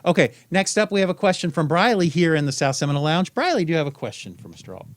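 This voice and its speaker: Guy hosting a school news show, bit higher voice, upbeat and jokey, dry close sound. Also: very animated, rapid-fire, no room sound. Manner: upbeat, joking, chatty.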